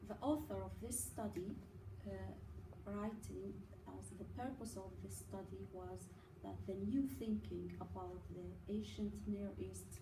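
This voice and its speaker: A woman from Iraq giving a prepared academic presentation, halting often with fillers as she reads. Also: medium pitch. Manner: halting, many uh fillers, careful delivery. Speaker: student presenter. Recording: echoey room from a distance with noise.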